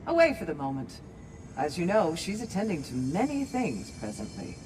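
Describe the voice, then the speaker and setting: A man's voice: a little high, big pitch swings, normal speed, over music. An actor playing a composed, courteous male attendant in a video game, explaining things formally and articulately. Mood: polite, matter-of-fact. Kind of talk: dramatic video game acting.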